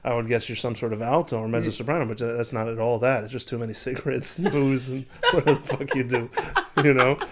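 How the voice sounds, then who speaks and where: A man's voice: mid-range voice, steady tone, fast, a little hiss or hum. A man chatting as a podcast guest, blunt and rambling, often restarting his sentences. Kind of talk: casual podcast chat. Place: comedy club.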